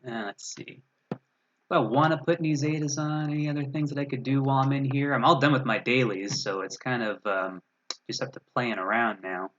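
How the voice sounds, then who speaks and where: A man's voice: medium pitch, steady tone, brisk, fairly bright tone. A man chatting casually as a guest on a gaming stream, wry and self-deprecating. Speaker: guest gamer. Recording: dull, lo-fi voice audio.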